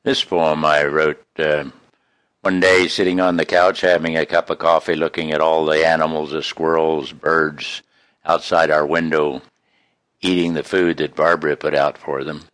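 A man reading his own poems in an expressive, folksy, homespun way, with casual introductions between them. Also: extremely low pitch, moderate pace, no room sound. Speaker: poet reading own work.

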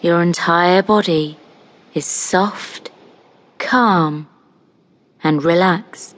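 Woman, meditation narrator, fairly low pitch, very slow pace, calm, soothing, long pauses. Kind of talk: scripted guided meditation, hypnotic relaxation narration.

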